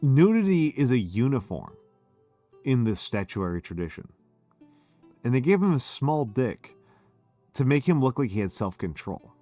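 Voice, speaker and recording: A man's voice: medium pitch, sing-song, normal speed, pauses often, mellow, no room sound, soft background music. A drunk man streaming a casual chat, rambling with stumbling restarts.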